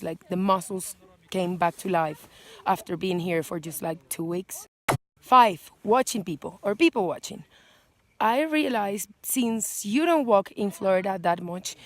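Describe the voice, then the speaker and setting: Female vlog host, native Spanish speaker with Venezuelan accent, mid-pitched voice, informal and expressive delivery to camera. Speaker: vlogger and channel host. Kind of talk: casual chatty list vlog to camera.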